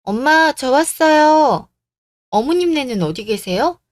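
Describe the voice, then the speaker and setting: A woman's voice: high voice, animated, a little slow, faint music behind, voice pushed up. A woman voicing a courteous, dutiful young bride-to-be in a radio story drama. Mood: bright. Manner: courteous, composed.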